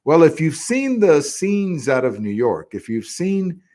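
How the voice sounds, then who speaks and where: A man's voice: fairly high pitch, big pitch swings, a little slow, dark tone. A man hosting an opinion podcast, talking conversationally and emphatically in a monologue, repeating phrases to press his points.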